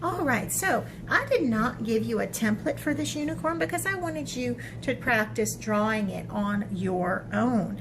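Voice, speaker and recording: A woman's voice: on the high side, animated, a little slow, hardly any pauses, background noise. A woman teaching a children's drawing lesson step by step in an informal, encouraging way, thinking aloud as she goes.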